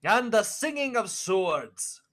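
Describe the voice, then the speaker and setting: A narrator telling a story in deliberate, scripted fashion in the style of a 1940s radio play. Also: mid-range voice, very animated, heavy background noise.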